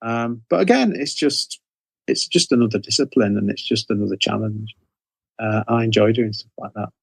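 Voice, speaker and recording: A man's voice: fairly low pitch, moderate pace, creaky, slightly rough, no echo, poor audio. Man chatting, hesitant and self-correcting, dry close sound. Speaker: interview guest, author. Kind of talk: casual conversational interview answers.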